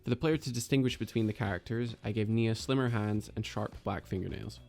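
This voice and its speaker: A man giving a scripted voice-over about his own 3D animation project, in a measured, explanatory and lightly self-deprecating manner. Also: on the low side, steady tone, creaky, darkish tone, faint music behind. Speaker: video creator and 3D animator.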